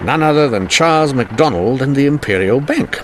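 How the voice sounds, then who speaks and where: A man's voice: medium pitch, animated, moderate pace, a little hiss or hum, close to an everyday voice. Guy acting a dogged old-timey police inspector, radio play, formal n pointed, voice swings up and down a lot. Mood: animated, wry. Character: shrewd, dogged male police inspector in 1890s Canada.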